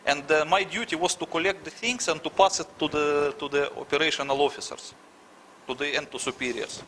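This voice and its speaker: Russian-accented man, bright halting answers. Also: on the high side, steady tone, slight background noise. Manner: halting, searches for words, frequent restarts. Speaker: interviewee. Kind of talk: conversational interview answers.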